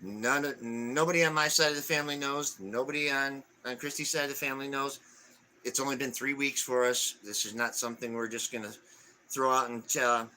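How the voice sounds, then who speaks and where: Male co-host, 40s, bright tone, casual and halting as he reads out comments, faint noise behind. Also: medium pitch, fairly even intonation, poor audio. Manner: reads viewer comments aloud, halting with fillers. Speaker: live stream co-host. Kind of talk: casual live stream chat.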